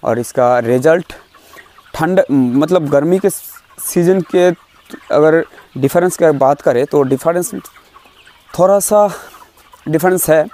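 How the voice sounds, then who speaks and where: Young man, higher-than-average voice, poultry vlogger, informal instructional talk, halting with repeated phrases. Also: warm tone. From India. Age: young adult. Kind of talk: informal instructional explanation to camera. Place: large bamboo poultry shed full of chickens. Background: chickens clucking.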